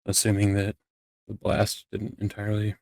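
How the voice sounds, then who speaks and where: Mellow, casual man playing a considerate young courtier. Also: on the low side, monotone, normal speed, vocal fry, dry recording. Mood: easygoing, considerate. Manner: casual, gently checking in. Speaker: player in a Minecraft roleplay. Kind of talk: casual improvised roleplay dialogue.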